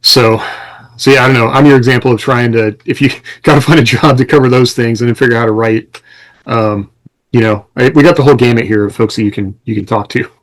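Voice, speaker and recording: A man's voice: medium pitch, steady tone, quick pace. A man co-hosting a podcast, explaining things informally in a casual chat. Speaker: podcast co-host.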